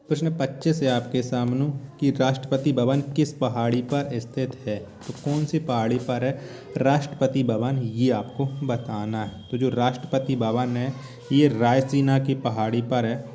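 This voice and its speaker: Mellow-voiced male exam coaching teacher. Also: medium pitch. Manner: repetitive, asks then repeats answers. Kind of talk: quiz lesson, question then answer.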